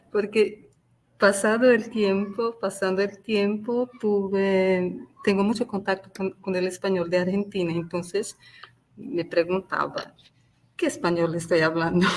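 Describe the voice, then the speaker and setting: A woman from Brazil, a Portuguese speaker talking in Spanish, moderating a webinar with friendly, rambling questions and frequent tag questions. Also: on the low side. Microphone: video call.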